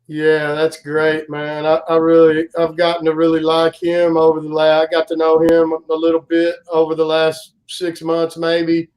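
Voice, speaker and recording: A man in his forties, a guest co-host chatting casually on a live stream, with a loud, carrying voice and a rambling way of telling stories full of fillers and restarts. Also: on the high side, monotone, moderate pace, few pauses.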